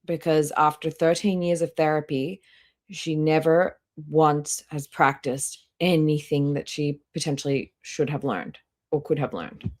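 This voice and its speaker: A woman with a low-pitched voice hosts a casual livestream. She gives blunt, critical reaction commentary in a chatty, unscripted way, swearing freely. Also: steady tone, a little slow.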